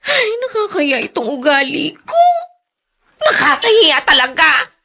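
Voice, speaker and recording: Tearful, high-pitched Filipino woman. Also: very animated, measured pace, gravelly, exaggerated voice. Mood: ashamed, tearful. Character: guilt-ridden, talkative female household member. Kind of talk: Filipino radio drama acting.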